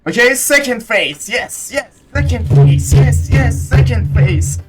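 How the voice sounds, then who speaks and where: A man giving casual, excitable gameplay commentary, often repeating his words. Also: very high voice, slow pace. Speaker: gamer commentating play. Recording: game music behind. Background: video game music.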